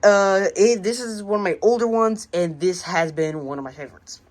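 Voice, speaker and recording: An American woman casually showing a hobby collection, speaking in a halting, unscripted, plain way on an amateur home recording. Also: medium pitch, a little mellow. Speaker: radio collector presenting the collection. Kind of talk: casual hobby show-and-tell narration.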